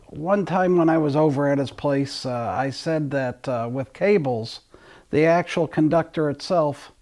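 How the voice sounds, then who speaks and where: A man's voice: fairly high pitch, darkish tone. A man hosting an audio channel, talking casually to his listeners in a rambling way, often restarting sentences mid-thought.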